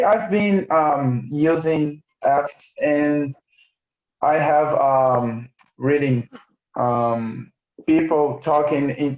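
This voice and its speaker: A man from Brazil with a Brazilian Portuguese accent, speaking English hesitantly and apologetically, with many pauses and restarts. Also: a little high. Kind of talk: casual discussion. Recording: roomy, dull-sounding call audio.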